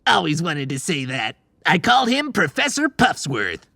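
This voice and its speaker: A man voicing an eager, cheeky little Christmas elf sidekick with a squeaky, chatty delivery in a dramatized audiobook. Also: huge pitch swings, unhurried, vocal fry, pushed and bright, no echo, exaggerated voice.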